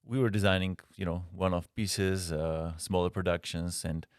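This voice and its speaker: A man from Slovenia with a Slovenian accent, a guest designer answering interview questions in a halting way with frequent pauses. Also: low-pitched, fairly even intonation, no echo, clean studio sound.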